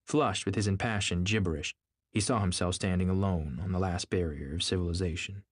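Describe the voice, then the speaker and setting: A man's voice: low-pitched, brisk, husky, no echo, studio quality. A man reading literary fiction as a scripted audiobook narrator.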